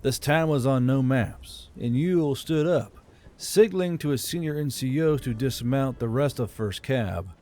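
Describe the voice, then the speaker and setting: A man's voice: medium pitch, normal speed, dark tone. A man giving scripted narration of a fantasy audiobook.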